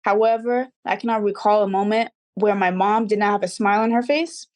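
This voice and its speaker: A woman's voice: mid-range voice, a little fast, a little mellow. Young woman. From Dubai. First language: Arabic. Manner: earnest, reflective, slightly formal. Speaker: guest, recording artist. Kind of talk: interview answers.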